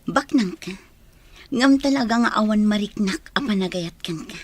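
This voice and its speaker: Woman acting a husky-voiced, firm young bride-to-be. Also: medium pitch, expressive, normal speed, a little mellow, lightly acted. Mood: firm, rejecting. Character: firm young woman rejecting a suitor, engaged to another. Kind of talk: Ilocano radio drama acting.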